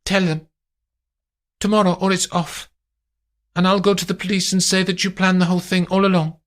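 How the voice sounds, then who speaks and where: A man reading aloud voices an imperious wealthy woman who gives crisp orders. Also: lowish voice, normal speed, pitched above the performer's own voice, natural voice. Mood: commanding. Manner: clipped, haughty, gives orders.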